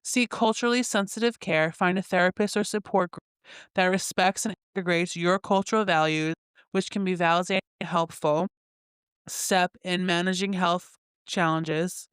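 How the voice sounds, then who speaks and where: A woman's voice: lowish voice, fairly even intonation, normal speed, clear, resonant tone, no echo, studio quality. A woman hosting a casual solo podcast from her bed. She reads affirmations aloud in a chatty, self-mocking way and laughs at her own slips. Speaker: podcast host.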